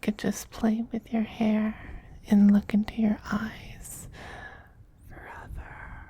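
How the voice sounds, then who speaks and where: A man playing a soothing, possessive femboy vampire queen in a soft, breathy whispered ASMR roleplay, slow and tender. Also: high-pitched, monotone. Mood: adoring, possessive. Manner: slow, tender, coaxing endearments.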